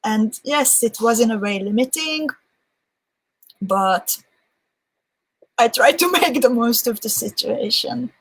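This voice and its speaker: A young woman with a Hungarian accent chats on a live stream at a slow, easy pace. Her voice sits a little high, and she thinks aloud with restarts as she answers viewer comments. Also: lively intonation, poor audio. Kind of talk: casual live-stream chat.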